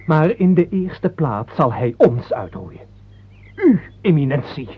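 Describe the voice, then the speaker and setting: An actor playing a cynical, scheming nobleman in a radio play, deliberate and insinuating, pressing his points with an edge. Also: a little high, sing-song, husky, heavily acted. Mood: urgent, conspiratorial. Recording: tinny old radio play, faint hiss.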